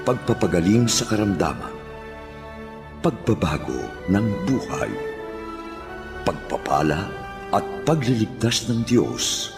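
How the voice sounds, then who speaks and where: A man's voice: on the low side, big pitch swings, slow, pauses often. A man announcing a program introduction in a dramatic, scripted style. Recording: loud theme music behind voice.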